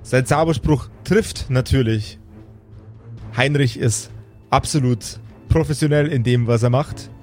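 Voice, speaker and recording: A man improvising tabletop game narration as game master, with halting, deliberate phrasing. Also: mid-range voice, a little mellow, faint music behind.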